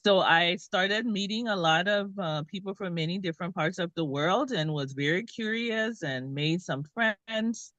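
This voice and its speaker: Slow, thoughtful woman from Liberia. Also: fairly low pitch, a bit bright, no room sound, lo-fi sound. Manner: thoughtful, many "um" pauses. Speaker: guest, author. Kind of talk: conversational interview.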